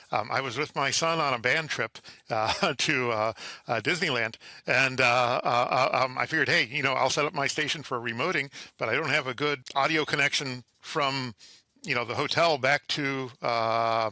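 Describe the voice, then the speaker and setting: Middle-aged male interview guest, very bright, sharp tone, dry close sound, informal and halting delivery. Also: mid-range voice, dry recording. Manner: hesitant, halting, thinking aloud. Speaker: interview guest, amateur radio contester.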